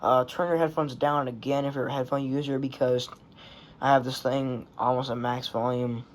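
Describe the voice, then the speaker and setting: A man's voice: mid-range voice, level tone, moderate pace, slight background noise. A man giving a casual show-and-tell vlog in a rambling, offhand, sweary way, recorded on a handheld phone. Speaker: hobbyist presenting a radio collection. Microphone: phone held in hand.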